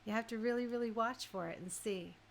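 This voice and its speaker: A woman radio host with a fairly high voice talks casually to her listeners. She is conversational and keeps posing questions and little tag checks as she goes. There is noticeable noise in the recording. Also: brisk, gravelly. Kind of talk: casual music chat to live listeners.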